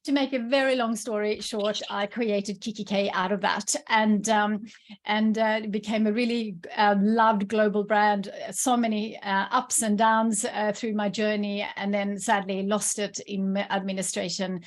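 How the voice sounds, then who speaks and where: A woman's voice: mid-range voice, flat delivery, a little slow. A woman from Sweden, a native Swedish speaker with a Swedish accent, chattily and enthusiastically leading an informal online workshop. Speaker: workshop presenter, entrepreneur and coach.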